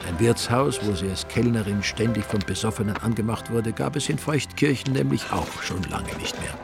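A male narrator with an Austrian accent tells a radio drama in a wry, colloquial way. His voice is fairly low and gravelly, set over clear music. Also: normal speed.

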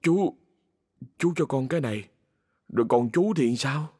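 A man's voice: medium pitch, lively intonation, measured pace, darkish tone, husky, no echo, studio quality, pitched above the performer's own voice. A man voicing a polite, uneasy young male writer with a southern Vietnamese accent, hesitant, in a horror audio story. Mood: bewildered.

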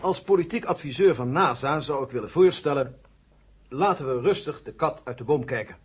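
A man's voice: mid-range voice, lively intonation, moderate pace, husky. An actor playing a reasonable male political adviser who argues politely in a radio drama. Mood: reasonable, persuasive. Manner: polite, argumentative. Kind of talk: 1970 Dutch radio drama acting. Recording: muffled old 1970 radio recording.

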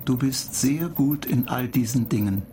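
A man playing a computer data agent in a radio play, matter-of-fact, clinical and measured, calmly pedantic and dryly superior. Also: medium pitch, natural voice. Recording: clear noise bed behind the voice.

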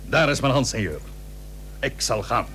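An actor playing a plain-spoken, reluctant but dutiful seventeenth-century sea captain in a radio play, with blunt, weighty, archaic Dutch diction. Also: male voice, medium pitch, lively intonation, moderate pace, loud music behind the voice, natural voice. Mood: resolved. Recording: old radio recording with hum and hiss.

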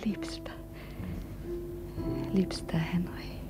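A woman's voice: extremely slow, whispered. An actress in a German radio play playing a tender, wistful, frail woman in love. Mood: tender, hushed. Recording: music behind the voice.

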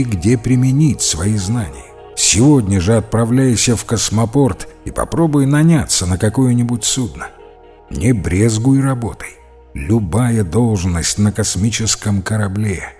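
Man voicing a kindly, low-voiced priest. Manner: calm, encouraging, preachy. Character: kindly, gently stern priest counselling a boy.

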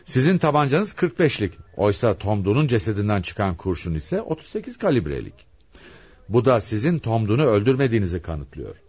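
Stern, insistent police commissioner, swooping pitch. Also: male voice, big pitch swings, brisk, darkish tone, husky. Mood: firm, probing. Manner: insistent, commanding. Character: hard-pressed, stern male police commissioner interrogating suspects. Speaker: radio drama actor. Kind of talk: radio theatre acting.

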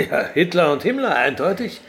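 Puppeteer's apprentice, wry, curious, slightly high voice with fry, radio play. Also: male voice, big pitch swings, moderate pace, a bit bright, exaggerated voice. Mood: curious, probing. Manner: wry, conversational, questioning. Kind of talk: radio drama acting.

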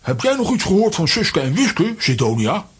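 A breezy, blustering character from a children's audio play. His voice is very rough and husky, pitched high. It leaps around extremely freely. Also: sing-song, normal speed, exaggerated voice. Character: Lambiek, breezy, careless, blustering cartoon man. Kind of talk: children's audio drama acting.

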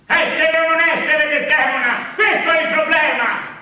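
A sharp, extremely high-pitched crusader husband declaims with self-important melodrama. It is absurdist comic theatre, heard from a distance in a very echoey space with faint noise. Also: male voice, moderate pace, exaggerated voice. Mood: declamatory, plaintive. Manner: declamatory, pompous, shouting. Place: stage, picked up from a distance.

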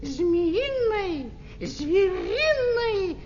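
Conceited know-it-all parrot, very high voice, extremely slow delivery, children's radio play, dull lo-fi recording. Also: very animated, very noisy, heavily acted. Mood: pompous, lecturing. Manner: pompous, drawn-out, self-important. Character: pompous, boastful parrot, wise know-it-all of the forest. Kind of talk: children's audio play acting.

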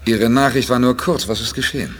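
An actor playing a cool, decisive space expedition leader who speaks with clipped authority in a 1980s German radio play. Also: male voice, medium pitch, brisk, a bit bright, slight background noise, close to an everyday voice. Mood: brisk, cordial.

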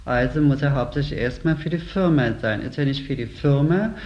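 Measured man in a roomy lo-fi recording. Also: medium pitch. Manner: measured, explanatory. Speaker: store manager. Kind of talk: interview.

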